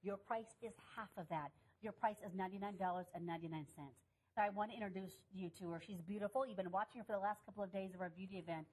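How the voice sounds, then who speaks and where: A shopping channel host, a woman with a husky voice. She rattles through her sales patter at a brisk clip, full of enthusiasm. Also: mid-range voice, a little mellow, rough recording. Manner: fast, enthusiastic selling patter.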